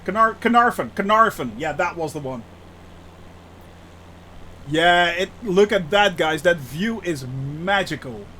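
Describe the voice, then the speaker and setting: A man with a thick Dutch accent chats with his live-stream viewers in a high, light voice. He talks in a halting way, restarting often and laughing easily. A faint hum sits under the recording. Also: animated, bright, forceful tone. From Netherlands. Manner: chatty, hesitant, many restarts, laughs often. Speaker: flight sim streamer. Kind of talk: casual live-stream chat.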